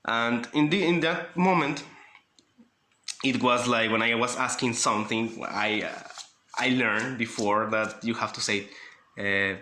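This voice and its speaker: A Mexican man, a native Spanish speaker, telling a casual vlog story in halting English with many fillers and laughs. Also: mid-range voice. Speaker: vlogger. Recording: roomy echo with loud background music.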